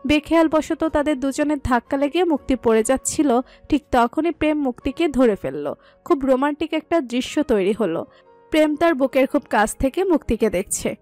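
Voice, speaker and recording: A woman's voice: high voice, animated, normal speed, darkish tone. A woman reading scripted narration of a romantic story as its storyteller. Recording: music under the voice.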